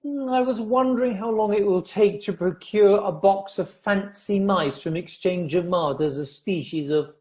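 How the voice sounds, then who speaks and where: A man's voice: sits high, normal speed, hardly any pauses, darkish tone, natural voice. A man playing an arch upper-class house guest in a radio play, wordy and drily mischievous. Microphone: video call.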